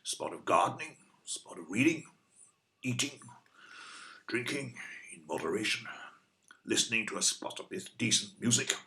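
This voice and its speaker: Dry, grumpy older British husband, expressive. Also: male voice, fairly low pitch, normal speed, creaky, long pauses, full character voice, low-quality recording. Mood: wry. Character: blunt, grumpy older husband wanting his freedom. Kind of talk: audio play dialogue.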